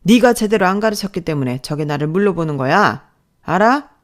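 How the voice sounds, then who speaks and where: A woman's voice: lowish voice, lively intonation, normal speed, a little mellow, lightly acted. A woman voicing a harsh office manager who insults and berates his staff, with a scolding, sneering manner. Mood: contemptuous scolding.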